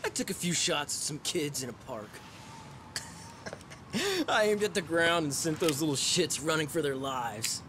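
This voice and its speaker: An actor playing a whiny, trigger-happy young thug in a dubbed video game, cocky, profane and complaining. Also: male voice, on the high side, big pitch swings, a little slow, noisy background. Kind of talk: English dub video game cutscene acting.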